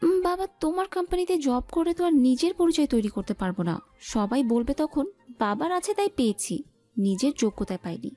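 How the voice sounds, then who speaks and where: Woman playing a sweet, high-voiced young daughter. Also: expressive, brisk, mellow, faint music behind. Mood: earnest, affectionate. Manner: affectionate, earnest.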